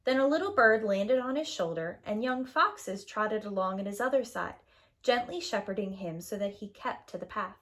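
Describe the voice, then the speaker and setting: A woman storyteller reading scripted fairy-tale narration to young children with expressive, dramatic phrasing. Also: mid-range voice, normal speed. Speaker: storyteller, preschool teacher.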